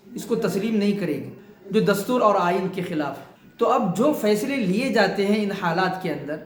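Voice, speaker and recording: Casting: male religious leader from India, notably high voice, measured and earnest formal address, reverberant room. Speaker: imam council leader giving a public statement. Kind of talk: formal public statement.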